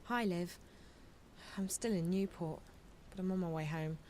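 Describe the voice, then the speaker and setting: A woman's voice: on the low side, a little slow, darkish tone, slight background noise, lightly acted. A woman voicing an earnest, determined young woman in a game, sincere and a little hesitant. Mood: surprised, curious. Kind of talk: naturalistic game voice acting.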